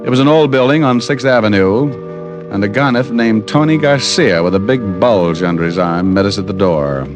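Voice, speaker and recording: An actor playing a wisecracking private detective in an old radio drama, narrating in a wry, hard-boiled manner. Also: male voice, on the low side, normal speed, natural voice. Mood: cocky. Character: wisecracking tough male private eye, dazed. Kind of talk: 1940s radio drama acting. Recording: old radio broadcast with music.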